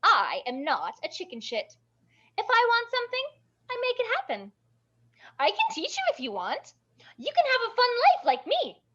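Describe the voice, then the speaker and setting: A woman playing a brash, bubbly, blunt burlesque veteran in an animated table read, gushing and bossy with heavy emphasis. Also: very high voice, moderate pace, highs cut off, exaggerated voice. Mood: confident, challenging.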